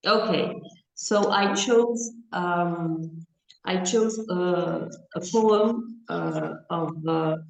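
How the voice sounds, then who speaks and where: A middle-aged Tunisian woman whose native language is Arabic, speaking haltingly with a Tunisian accent as a guest poet introducing herself. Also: on the low side, gravelly, reverberant, low-quality recording. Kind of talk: self-introduction in an interview.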